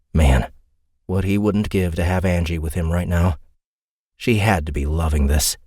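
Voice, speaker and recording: A man narrating a fantasy audiobook in an expressive, dramatic storytelling style. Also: deep voice, dry recording, clean studio sound.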